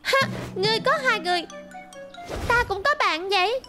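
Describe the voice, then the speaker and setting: A very high-pitched, confident little girl from a kids' cartoon. She is stubborn and boastful, always ready to defy anyone. Music plays clearly behind her. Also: moderate pace. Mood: defiant. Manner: boastful, defiant. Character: stubborn, confident young girl dinosaur trainer. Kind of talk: animated children's cartoon dub. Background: music bed.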